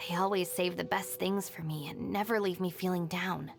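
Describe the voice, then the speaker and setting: A woman's voice: fairly low pitch, steady tone, moderate pace, creaky. An actress playing a level-headed, self-reliant young woman in a video game, speaking in a composed, dry, matter-of-fact way. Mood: calm, assured. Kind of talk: scripted video game character voice lines. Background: background music.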